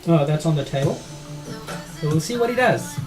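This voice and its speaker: A man's voice: on the high side, lively intonation, normal speed. South African man chatting over music. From South Africa and Australia. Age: middle-aged. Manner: informal, matey, thinking aloud. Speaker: chess streamer and player. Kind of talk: casual live game commentary and chat.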